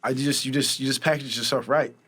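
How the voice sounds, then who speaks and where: A man's voice: mid-range voice, fairly even intonation, a little fast, sharp tone, slightly rough. A man hosting a podcast interview, chatting casually and encouraging his guest. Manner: encouraging, affirming, frequent backchannel. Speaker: podcast host.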